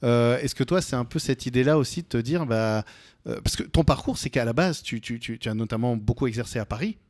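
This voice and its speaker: A man's voice: medium pitch, fairly quick, a little mellow, no room sound. A man hosting a casual morning radio interview, feeling his way into questions with repetitions and rephrasings. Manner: hesitant, repeats and rephrases as he builds questions. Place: indoors, radio studio.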